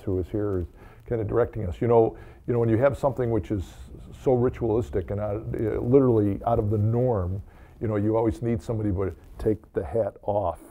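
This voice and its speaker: Older American man, low gravelly voice. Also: warm tone. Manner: halting, with repeated words. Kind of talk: informal remarks and blessing at Mass. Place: small chapel, indoors.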